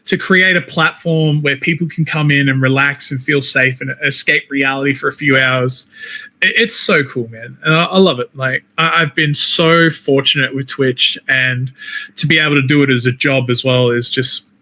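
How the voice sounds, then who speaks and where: A man's voice: medium pitch, steady tone, moderate pace, pushed and bright. A man with an Australian accent chatting casually as an interview guest, informal and talkative. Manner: chatty, peppers talk with "man" and "like". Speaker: interview guest, wrestler and game streamer.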